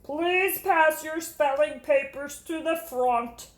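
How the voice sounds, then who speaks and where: A woman plays a gentle storybook schoolteacher. She is high-pitched and steady, drawing out her words with patient kindness. The room around her echoes. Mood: clear, friendly. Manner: slow, measured, gentle. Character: kind, steady woman substitute teacher.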